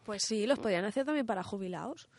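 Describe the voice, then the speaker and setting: A woman's voice: mid-range voice, lively intonation, fast, a little mellow, no echo. A woman with an accent from Spain co-hosting a casual radio chat with brief reactions. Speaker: radio show co-host.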